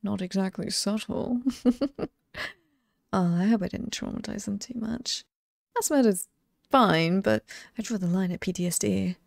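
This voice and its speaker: Woman acting a creaky-voiced, playful British slasher killer. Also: medium pitch, a little slow, long pauses, no echo, clean studio sound. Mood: smug, musing. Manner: playful, casual, teasing, amused. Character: cheerful, chatty female slasher killer, sweetly menacing. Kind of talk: ASMR roleplay acting.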